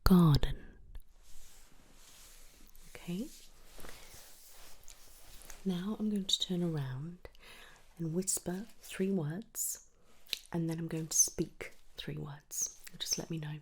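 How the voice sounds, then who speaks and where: A woman gives a gentle ASMR medical roleplay as an audiologist. Her delivery is very unhurried, mostly breathy whispering with some soft, slightly low-pitched speech. She sounds reassuring, over a noticeable hiss with soft clicks. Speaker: ASMR performer playing an audiologist.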